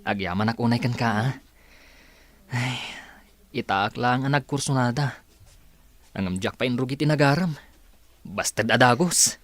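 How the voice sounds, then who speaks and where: An actor with an Ilocano accent playing a modest, lovestruck young college student in a radio drama, earnest in manner. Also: male voice, mid-range voice, normal speed, long pauses, fairly bright tone, dry recording, lightly acted. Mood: wistful. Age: young adult.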